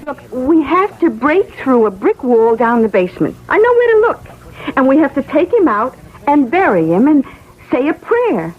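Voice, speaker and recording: Naive, kindhearted gangster's wife, very high swooping voice, earnest and chatty, vintage radio drama. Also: female voice, sing-song, moderate pace, narrow, tinny audio. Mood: insistent. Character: kindly, naive, plucky wife of a small-time crook. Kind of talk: classic radio drama acting.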